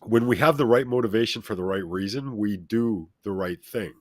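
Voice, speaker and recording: Deep, authoritative man in a dry studio sound. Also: normal speed, no echo. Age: middle-aged or older. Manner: emphatic, instructive, addressing listeners directly. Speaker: talk show guest, self-help speaker. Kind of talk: motivational interview talk, speaking at length.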